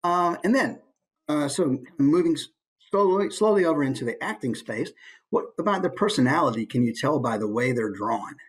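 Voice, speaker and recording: A man's voice: a little high, moderate pace. A man giving a casual, playful instructional talk as a voice actor. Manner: animated, playful.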